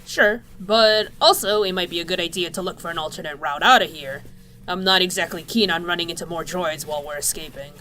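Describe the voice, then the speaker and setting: A woman's voice: lowish voice, fairly quick, pushed and bright. A woman playing a bold, practical accomplice who makes dry quips in a sci-fi audio drama. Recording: faint noise with vehicles and sirens.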